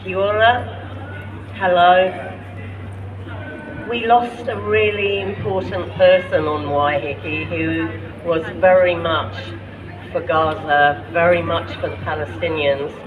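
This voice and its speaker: A man with a London accent, from Ireland, reading his own poetry at a public gathering with passion and deliberate rhetorical questions. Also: a little high, steady tone, narrow, tinny audio, crowd noise. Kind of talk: spoken-word poetry reading.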